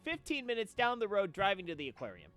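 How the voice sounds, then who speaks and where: A man streaming a game and chatting casually, telling stories with theatrical, drawn-out emphasis. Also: sits high, huge pitch swings, fairly bright tone, soft background music. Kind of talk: casual chat, storytelling.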